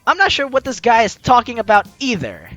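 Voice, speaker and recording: A playful, slangy gaming host reading a visual novel aloud and reacting in an animated way. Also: medium pitch, a little fast, muffled recording. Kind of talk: lively let's play, reading aloud and reacting. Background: game music.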